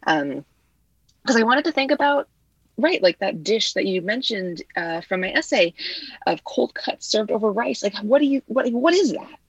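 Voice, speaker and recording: A woman's voice: on the high side, lively intonation, normal speed, breathy edge, no room sound, poor audio. A woman whose first language is Vietnamese, a guest talking casually and loosely, leaning often on "like" and "you know.". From United States. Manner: chatty, heavy on fillers like "like" and "you know". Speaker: guest writer. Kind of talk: casual conversation.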